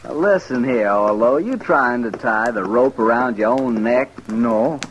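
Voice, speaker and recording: A man acts an uneasy outlaw on the run in an old radio western. He bickers in a slow, rustic frontier drawl at an ordinary male pitch. The vintage recording carries a faint layer of noise. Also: lo-fi sound, lightly acted. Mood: bickering.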